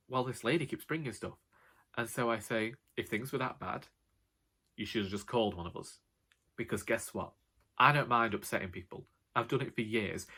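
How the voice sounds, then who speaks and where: A British man with a loud voice rambling casually to camera in a wry, sarcastic way, like a vlogger. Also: on the low side. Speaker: vlogger and author.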